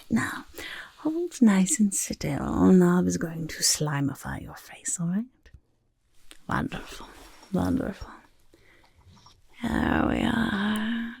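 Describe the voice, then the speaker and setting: A woman voicing a chaotic, eager little goblin overlord in a soft-spoken ASMR roleplay, with a creaky, raspy whisper and a fussy, repetitive manner. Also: mid-range voice, no echo, full character voice. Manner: speaks of self in third person, fussy, repeats words.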